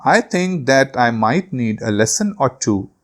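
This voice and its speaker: A man voicing a cheerful, polite novice skier in an expressive children's storybook reading. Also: mid-range voice, a little slow. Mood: rueful. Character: cheerful, eager man learning to ski with his dog.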